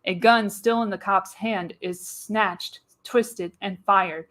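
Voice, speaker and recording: A woman narrates a screenplay live as a radio play. Her medium-pitched voice reads the action with dramatic, storytelling emphasis. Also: fairly even intonation, slow pace, a bit bright.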